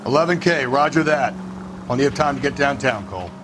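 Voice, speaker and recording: A man playing a brisk, businesslike American police detective partner in a video game. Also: medium pitch, animated, close to an everyday voice. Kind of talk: video game drama acting. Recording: car engine and street noise.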